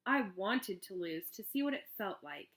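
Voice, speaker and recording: A woman's voice: medium pitch, very animated, normal speed, a bit bright. A woman voicing a competitive young boy in a storybook, boastful and insistent with his sister. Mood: defensive.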